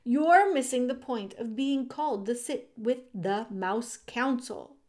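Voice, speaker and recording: A woman's voice: mid-range voice, animated, brisk, warm tone, natural voice. A woman voicing a bossy, exasperated young male mouse who scolds and announces insistently in a storybook reading.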